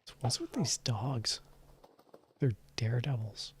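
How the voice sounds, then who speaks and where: A man streamer chatting casually late at night in a calm, soft-spoken way, chuckling and sometimes dropping to a whisper. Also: medium pitch, unhurried, background noise. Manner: relaxed, chuckling, drifting into whispers.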